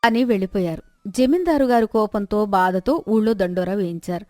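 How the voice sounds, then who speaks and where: A woman's voice: mid-range voice, fairly quick, mellow, no echo, studio quality. A woman storyteller reading a scripted moral tale as narration.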